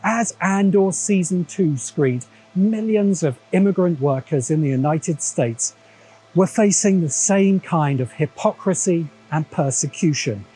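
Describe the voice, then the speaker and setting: English man, mellow husky essay narrator. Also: a little high, measured pace, soft background music, rough recording. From England. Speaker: video essay narrator and host.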